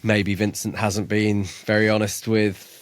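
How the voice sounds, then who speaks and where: A man co-hosting a podcast, talking casually about a book in a measured way, often restarting as he finds his point. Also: fairly low pitch, level tone. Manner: measured, restarts as he gathers thoughts. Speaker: podcast co-host.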